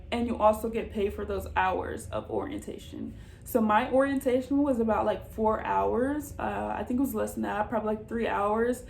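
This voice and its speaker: A woman's voice: mid-range voice, gravelly, darkish tone. A young American woman chatting casually as she gives advice, in an informal, reassuring way. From Southern United States. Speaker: vlogger giving job advice. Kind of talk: casual advice chat to camera.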